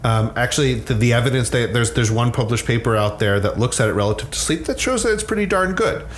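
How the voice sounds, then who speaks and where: A man's voice: mid-range voice, animated, fast talker, slight background noise. A man explaining science conversationally as an expert guest, candid, often repeating words as he starts a thought.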